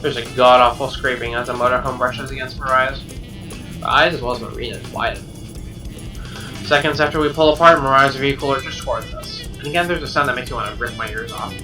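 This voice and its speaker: A man reading a visual novel aloud as dramatic, expressive narration, with game music behind him. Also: medium pitch, fairly quick. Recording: slightly distant mic under game music.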